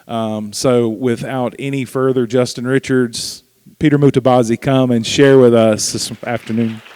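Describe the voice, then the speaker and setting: A man's voice: mid-range voice, steady tone, normal speed, a little mellow, clean tone. A man hosting an event, giving an informal, folksy introduction of a guest speaker in colloquial words.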